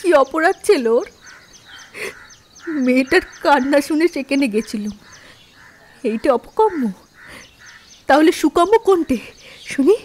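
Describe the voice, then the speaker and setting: High-voiced, emotional landlord's wife. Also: female voice, animated, slow, pauses often. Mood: indignant. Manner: emotional, rustic old-fashioned speech. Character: compassionate, outspoken landlord's wife, motherly and indignant. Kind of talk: Bengali audio horror drama acting.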